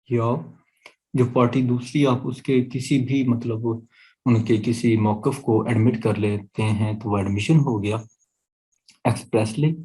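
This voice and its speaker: A man with a Pakistani accent teaching law in an online lecture, reading out statute and explaining it deliberately, often checking that listeners follow. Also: mid-range voice, a little mellow, slightly rough, poor audio.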